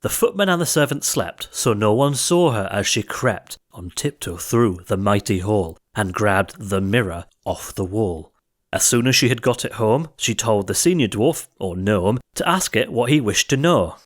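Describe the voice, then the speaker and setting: Animated male storybook narrator. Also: on the low side, normal speed. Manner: theatrical, rhythmic rhyming delivery. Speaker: audiobook narrator. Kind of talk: expressive storybook narration of comic verse.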